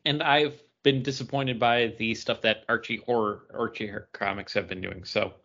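A man's voice: mid-range voice, steady tone, moderate pace, sharp tone, lo-fi sound. A man chatting casually and informally as a podcast co-host, with bantering delivery. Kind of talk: casual chat about comic books.

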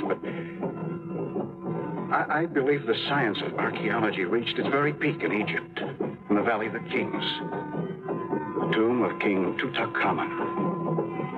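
An actor playing an earnest, scholarly archaeologist in a 1940s radio drama, eloquent and excitable. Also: male voice, fairly low pitch, natural voice. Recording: tinny old radio broadcast with loud music.

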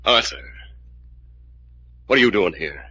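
An actor playing a ruthless, bitter American gangster leader with tough, clipped talk, in 1940s radio style. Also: male voice, low-pitched, lively intonation, takes it slowly, sharp tone, breathy edge, full character voice. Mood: menacing. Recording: dull vintage radio recording.

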